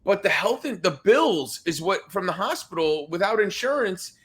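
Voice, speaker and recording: A man's voice: sits high, big pitch swings, moderate pace. A man hosting a podcast, chatting casually with a guest, often restarting and repeating himself and teasing playfully. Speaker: podcast host. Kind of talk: casual interview chat.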